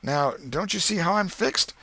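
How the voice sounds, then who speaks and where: A man's voice: high voice, expressive, moderate pace, bright, forceful tone, no room sound, voice pushed up, exaggerated voice. A man voicing a sly, cool schoolboy in a storybook reading, with folksy rural dialect and hesitant protests. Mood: feigned reluctance. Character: sly, carelessly cool schoolboy feigning reluctance.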